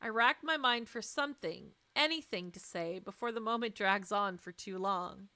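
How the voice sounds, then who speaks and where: Bright-voiced woman reading a story aloud. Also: medium pitch, normal speed, dry recording. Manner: expressive, teasing asides. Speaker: streamer. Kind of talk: live visual novel read-aloud with playful asides. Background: music.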